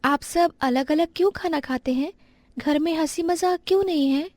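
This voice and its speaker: Earnest, gentle young daughter-in-law, played by a woman, very high pitch, dry recording, storybook drama. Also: moderate pace, no room sound, close to an everyday voice. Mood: bright, earnest. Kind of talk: dramatized moral story acting.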